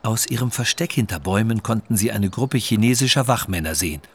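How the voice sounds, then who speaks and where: A man narrating a scripted children's audio story with measured, expressive reading. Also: fairly low pitch, husky.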